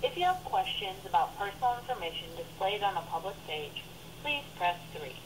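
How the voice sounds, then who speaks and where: Lively female phone-menu voice, noisy line. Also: medium pitch, brisk. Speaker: automated phone system announcer. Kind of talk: scripted hold message and menu prompts. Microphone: heard down a phone line. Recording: distant, noisy telephone line.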